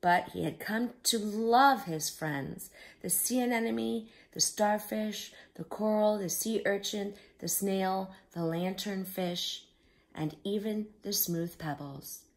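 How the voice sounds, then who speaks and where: A woman reading a picture book aloud to young children, expressive, with simple explanatory asides. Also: mid-range voice, slow pace. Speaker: children's storyteller.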